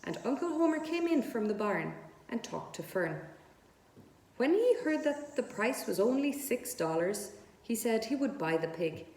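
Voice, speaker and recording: A woman's voice: a little high, very animated, a little slow, a little mellow. A woman narrating a children's book aloud as a storyteller. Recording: echoey room.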